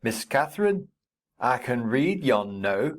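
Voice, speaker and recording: An awkward, slow-witted country youth, as a woman reader puts him on, speaking in a very deep, heavy voice. He drags every word out at a sluggish, clumsy pace in coarse country speech, as in a read-aloud storybook. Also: big pitch swings, exaggerated voice. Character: awkward, slow-witted rustic young man.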